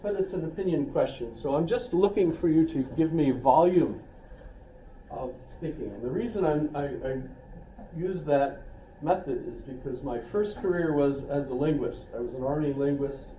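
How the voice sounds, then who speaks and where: A man's voice: fairly high pitch, normal speed, warm tone, slight background noise. Rambling older man lecturing in an echoey classroom. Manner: rambling, self-deprecating, with asides and restarts. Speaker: philosophy instructor. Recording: echoey classroom recorded from a distance.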